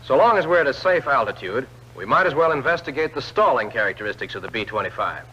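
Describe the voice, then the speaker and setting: Bright-voiced man narrating vintage training film. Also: medium pitch, very animated, fast. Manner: clear, instructive, addressing the pilot directly. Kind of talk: scripted instructional narration, 1940s military training film. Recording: old film soundtrack, dull lo-fi band.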